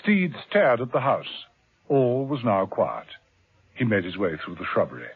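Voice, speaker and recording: A man's voice: medium pitch, huge pitch swings, moderate pace, slightly rough, narrow, tinny audio. A man narrating a 1970s radio drama serial in a dramatic, wry storytelling manner. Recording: thin old radio recording with music.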